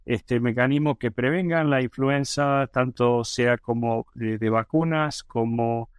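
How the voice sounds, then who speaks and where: A middle-aged man with an Argentine accent answers questions as a guest expert. He hesitates often and restarts his sentences, and his voice sits at an ordinary pitch. The recording is dry and close. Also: measured pace, clear, resonant tone, no echo. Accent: Argentine Spanish. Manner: thoughtful, many hesitations and restarts. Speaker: virology professor, guest. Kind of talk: interview answers.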